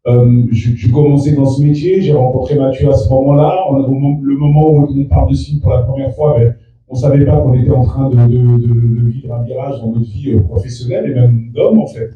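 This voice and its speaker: A man, a native Fula speaker, answering press questions as an actor in a halting way with many restarts. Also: mid-range voice, steady tone, lo-fi sound. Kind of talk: press interview. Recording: echoey room from far off.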